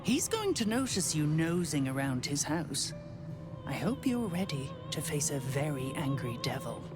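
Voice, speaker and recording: A sly and worldly she-devil, with a lowish voice that moves freely up and down. She is knowing and mocking in a breezy game-character delivery, over background music. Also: big pitch swings, unhurried. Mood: teasing. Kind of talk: video game character acting.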